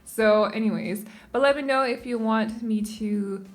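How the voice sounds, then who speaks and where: A woman's voice: a little high, a little slow. A young Danish woman, not a native English speaker, talks casually over her speed build video, rambling and often correcting her own word choices. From Denmark. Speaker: game building channel host.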